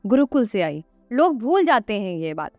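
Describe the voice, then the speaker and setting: A woman's voice: medium pitch, very animated, moderate pace, darkish tone, dry recording, soft background music. A woman guest on a podcast, explaining earnestly and conversationally, repeating phrases for emphasis and posing rhetorical questions.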